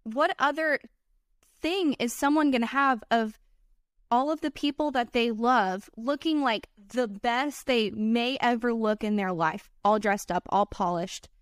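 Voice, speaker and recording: Smooth, high-voiced chatty woman. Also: unhurried, dry recording, clean studio sound. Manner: chatty and earnest, many fillers like "like" and "you know". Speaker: guest, wedding filmmaker. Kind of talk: casual podcast chat.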